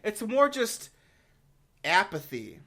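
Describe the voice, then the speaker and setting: A man's voice: sits high, huge pitch swings, slow, sharp tone. A man giving casual, unscripted commentary to viewers, rambling informally and leaning hard on key words. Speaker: gaming YouTuber giving a review and channel updates.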